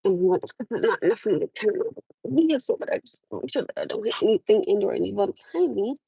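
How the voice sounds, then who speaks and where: A woman's voice: medium pitch, expressive, quick pace, vocal fry, warm tone, slightly rough, no room sound, low-quality recording. A woman from the United States chatting live with her viewers from her parked car on a phone camera, laughing often and calling them "my love.". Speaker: livestream host. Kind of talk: casual live chat with viewers.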